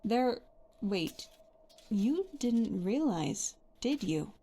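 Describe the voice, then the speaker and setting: A woman's voice: fairly high pitch, very animated, extremely slow, dark tone, full character voice. A woman playing a tough, sardonic but caring adventuring companion in a fantasy video game. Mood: worried, earnest. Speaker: voiced follower in a game mod.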